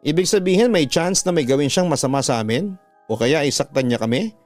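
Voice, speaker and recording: A man's voice: fairly high pitch, lively intonation, fairly quick, a little mellow, faint music behind, close to an everyday voice. A man with a Filipino accent playing a puzzled, questioning schoolgirl in a radio drama. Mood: nervous. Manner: questioning, incredulous. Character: puzzled young schoolgirl questioning her friend.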